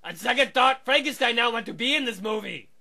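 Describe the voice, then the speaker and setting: A man voicing a dim, eager Frankenstein monster in broken caveman grammar for an improvised comedy bit, with a gravelly voice. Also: extremely high pitch, animated, brisk, bright, forceful tone, full character voice. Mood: eager, clowning. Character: dim, eager male Frankenstein monster wanting acting work.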